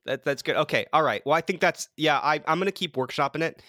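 A man hosting a casual comedy podcast, speaking in a mock-earnest, halting and encouraging way. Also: on the high side, pushed and bright, no room sound, studio quality. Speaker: podcast host.

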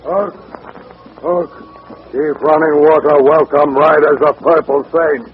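Slow, gruff old-radio western chief. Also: male voice, a little high, steady tone. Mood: solemn. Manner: broken, clipped speech with grunts. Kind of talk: old-time radio comedy acting. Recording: noisy, tinny old radio recording.

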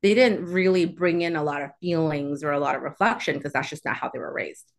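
Low-voiced woman guest, reflective. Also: brisk, creaky. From Southwest Houston, Texas. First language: Chinese. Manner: reflective, uses many "like" fillers. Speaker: podcast guest. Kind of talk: casual interview chat.

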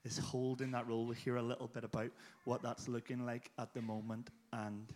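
A man's voice: medium pitch, monotone, brisk, darkish tone. A man, a church leader introducing and interviewing a guest in a hesitant, casual way. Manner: hesitant, with many fillers and restarts.

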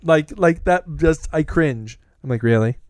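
A man's voice: on the high side, unhurried, dry recording. Joking man co-host, dry close mic. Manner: joking, animated, repeats and restarts. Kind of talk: casual chat about sci-fi shows.

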